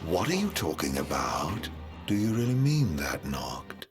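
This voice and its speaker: A man's voice: very deep voice, sing-song, a little slow, full character voice. An actor playing a good-natured, pleading young man in a video game, casual and earnest. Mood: hurt, pleading. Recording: loud game music over voice.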